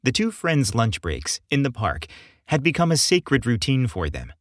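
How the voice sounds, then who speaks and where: Animated male storyteller, dry close sound. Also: medium pitch, normal speed, no room sound. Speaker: podcast host and narrator. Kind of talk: scripted story narration.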